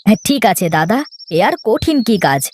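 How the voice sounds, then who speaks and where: A woman's voice: a little high, very animated, brisk, a little mellow, slight background noise. A woman voicing an obliging, earnest youngest brother in a children's cartoon, eager and a little hesitant. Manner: eager, hesitant when suggesting.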